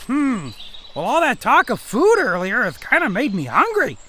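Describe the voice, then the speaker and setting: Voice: medium pitch, wildly swooping pitch, bright, forceful tone, a little hiss or hum. An actor voicing a dim, earnest, food-loving forest animal in a children's audio drama. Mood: confused. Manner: slow-witted, earnest, confused.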